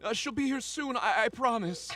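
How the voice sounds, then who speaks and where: Actor playing an emotional, bright-voiced, high-pitched father. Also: animated, normal speed, exaggerated voice. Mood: tender, anxious. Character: embittered, protective husband and father, small-town professor. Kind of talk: dramatic audio drama acting.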